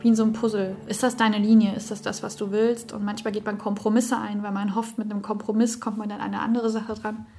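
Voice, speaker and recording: Flat-toned woman in her twenties. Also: mid-range voice, fast talker, few pauses, faint music behind. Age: 20s. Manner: reflective, matter-of-fact. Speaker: interviewee. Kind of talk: interview.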